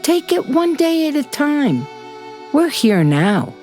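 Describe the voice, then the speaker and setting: A woman playing a kindly, affectionate elderly grandmother in a children's audiobook, speaking in a gentle, reassuring way. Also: high voice, big pitch swings, slow pace, faint music behind, heavily acted. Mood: wistful, reassuring. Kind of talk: children's audiobook character acting.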